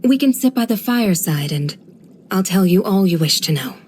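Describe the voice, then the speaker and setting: A woman voicing a serene, kindly guardian of a desert village in a video game, with a gentle, measured, formal storytelling delivery. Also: mid-range voice, animated, a little hiss or hum, natural voice. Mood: solemn, wistful. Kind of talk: scripted video game character voice lines.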